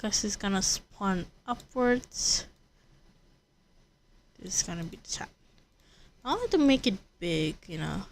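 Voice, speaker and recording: A woman's voice: medium pitch, very animated, unhurried, pauses often, slight background noise. A woman livestreaming a video game, chatting casually and thinking aloud in rambling, repeated phrases. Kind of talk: casual livestream chat while gaming.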